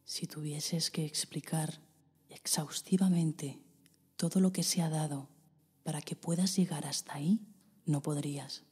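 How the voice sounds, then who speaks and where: A woman with a Spain Spanish accent, guiding a meditation in a slow, soft voice that often drops into a whisper. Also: low-pitched, fairly even intonation, big-room sound. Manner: slow, soft, breathy delivery with whispered passages. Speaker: meditation guide. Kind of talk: guided meditation.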